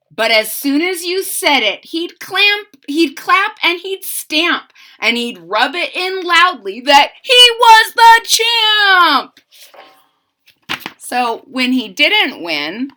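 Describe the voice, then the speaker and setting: A woman reading a children's picture book aloud in an expressive, sing-song manner, speaking directly to young listeners. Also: high voice.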